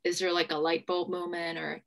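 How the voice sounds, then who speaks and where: A woman's voice: fairly low pitch, level tone, moderate pace, gravelly. A young woman from Hong Kong hosting an artist talk on a video call, speaking in a hesitant, self-deprecating way. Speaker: moderator. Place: small room at home.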